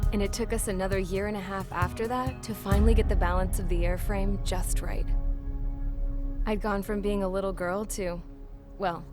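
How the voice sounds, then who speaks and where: A woman's voice: medium pitch, close to an everyday voice. A woman voicing a wry young pilot girl. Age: young adult. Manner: plain-spoken, wry narration. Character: young female mechanic pilot, tough and wistful. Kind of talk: game cutscene narration. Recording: narration over loud music.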